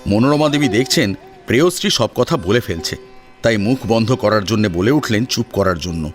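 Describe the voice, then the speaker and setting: Man narrating a story with lively intonation. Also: fairly low pitch, moderate pace, faint music behind. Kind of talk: dramatic scripted story narration.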